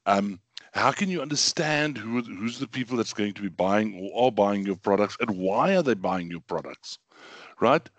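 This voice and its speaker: A middle-aged man with a hoarse voice from bronchitis, giving a casual online lecture with lots of ums and repeated words. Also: fairly low pitch, expressive, moderate pace, dry recording. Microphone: computer mic.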